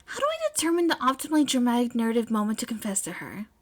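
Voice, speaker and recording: A woman plays an eager teenage girl inventor in a fan fiction story. Her voice is fairly high, and she speaks bluntly and earnestly, in technical, matter-of-fact terms. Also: wildly swooping pitch, racing.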